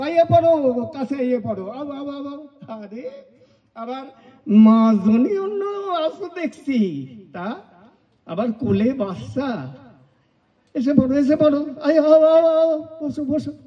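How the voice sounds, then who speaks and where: A man's voice: very high-pitched, expressive, unhurried, dark tone, distant mic. A man playing a bossy planter's steward on stage, coaxing and hectoring in an eastern Bengali dialect. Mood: summoning. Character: sly, bossy male steward of a colonial planter. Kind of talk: stage play acting. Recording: dull, lo-fi sound.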